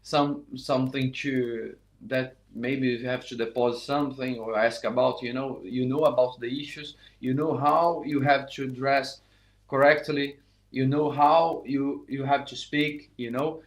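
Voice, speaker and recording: Man, middle-aged, English, saxophone teacher, live lesson, halting, frequent restarts, mid pitch. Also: steady tone. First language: Portuguese. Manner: halting, many restarts and "you know".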